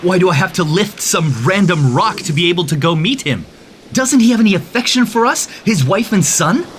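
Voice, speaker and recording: A young man voicing a brave, headstrong young male hero in an animated story, speaking earnestly and proudly. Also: sits high, fairly quick, slight background noise. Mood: upset. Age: young adult.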